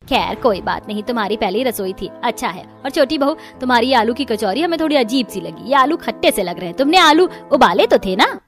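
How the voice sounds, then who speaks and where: Woman playing high-voiced authoritative older mother-in-law. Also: animated, fairly quick, hardly any pauses, faint music behind. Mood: critical. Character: older mother-in-law, food-loving, authoritative but fair.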